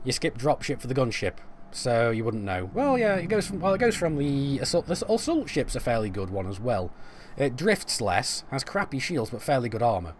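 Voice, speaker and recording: A man's voice: mid-range voice, sing-song, normal speed, a little hiss or hum. Chatty man streaming a game. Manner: chatty, rambling, answers chat, frequent fillers and restarts. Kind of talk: casual live-stream chat while playing.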